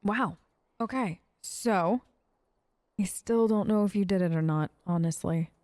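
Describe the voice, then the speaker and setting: A woman's voice: medium pitch, measured pace, a little mellow, no echo. Chatty woman gamer in a dry recording. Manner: chatty, wry, informal. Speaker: gaming video host. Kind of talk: let's play role-play, casual in-character dialogue.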